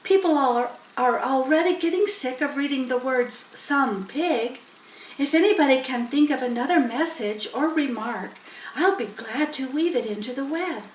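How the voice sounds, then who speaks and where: A clever female storybook spider, acted by a woman, frank and in command. Her voice is raised in pitch, with plenty of room echo. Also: animated, normal speed, far from the mic, slight background noise. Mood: businesslike. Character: female spider, brisk, clever, businesslike leader.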